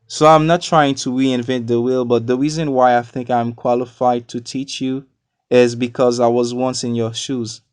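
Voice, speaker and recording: A man from Haiti, a non-native English speaker, giving a scripted English lesson with deliberate, explanatory enunciation. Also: medium pitch, flat delivery, clean tone, dry recording, clean studio sound. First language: not a native English speaker. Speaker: English teacher. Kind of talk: scripted educational narration.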